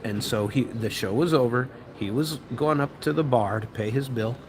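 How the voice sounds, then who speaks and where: A man's voice: medium pitch, moderate pace, slight background noise. An American man and radio host telling a rambling story with many fillers and restarts. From United States. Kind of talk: casual storytelling monologue. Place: restaurant or bar, eating while talking.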